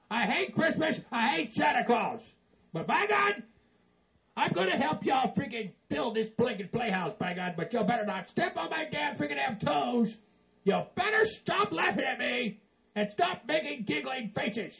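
A man playing a gravelly, cursing Christmas-hater. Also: very high voice, fairly bright tone, exaggerated voice. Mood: blustering. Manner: profane, blustering, exasperated. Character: grumpy cursing middle-aged man who hates Christmas. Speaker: comedy character.

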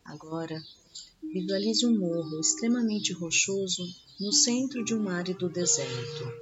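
A Brazilian woman leads a guided meditation in a fairly low voice. Her scripted instructions are slow and soothing. The recording is dull and lo-fi, with loud music and birdsong behind her. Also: animated.

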